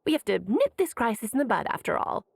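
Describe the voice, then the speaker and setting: Lively, self-assured high-voiced mage. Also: female voice, fast talker, vocal fry, a little hiss or hum. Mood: determined. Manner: breezy, genteel, coaxing. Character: playful, self-assured woman mage, breezy yet commanding. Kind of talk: animated game dialogue.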